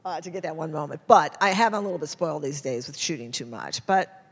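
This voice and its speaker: Halting American woman in a reverberant hall. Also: low-pitched, slightly rough. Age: middle-aged. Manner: halting, self-interrupting, informal. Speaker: guest photojournalist. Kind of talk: casual question-and-answer talk before an audience. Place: indoor hall at a public talk.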